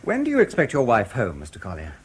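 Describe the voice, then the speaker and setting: A man's voice: mid-range voice, huge pitch swings, fast talker, slight background noise. A man playing a suave intelligence interrogator in a radio drama, clipped, polite and understated, with a mild needling edge. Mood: politely needling.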